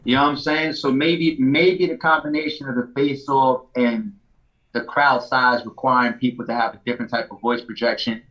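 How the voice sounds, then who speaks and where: A man chatting casually as a podcast guest, relaxed and loose, who fills his sentences with "you know what I'm saying." His voice comes through dull and slightly muffled, with a middle pitch. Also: moderate pace. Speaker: battle league owner, guest.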